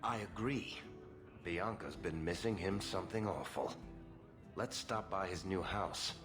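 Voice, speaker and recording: An actor playing a wry, streetwise, easygoing rogue with a dry, sardonic delivery in a fantasy video game. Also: male voice, low voice, a little slow. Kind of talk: video game dialogue acting. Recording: clear music under the voice.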